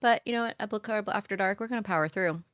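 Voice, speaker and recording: A woman's voice: medium pitch, fast talker, a bit bright, no room sound, clean studio sound. A woman co-hosting a book podcast, chatting casually and upbeat with lots of fillers, sounding nasal from a cold. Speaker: podcast co-host.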